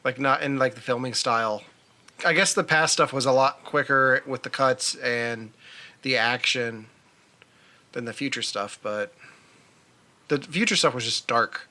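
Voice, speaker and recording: Man, podcast co-host, bright tone, mid pitch, casual film chat, wry and teasing. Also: measured pace, pauses often.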